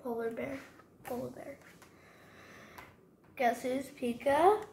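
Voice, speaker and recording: A female reader going through a toddler picture book aloud, haltingly, stumbling over the harder words. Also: high voice, darkish tone. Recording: roomy echo, clear background noise.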